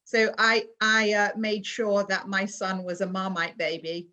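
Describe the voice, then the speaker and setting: A woman's voice: mid-range voice, little pitch movement, measured pace, bright, forceful tone. A woman from England with a British accent, hosting a virtual interview and reading out audience questions over a video call. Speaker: interview host.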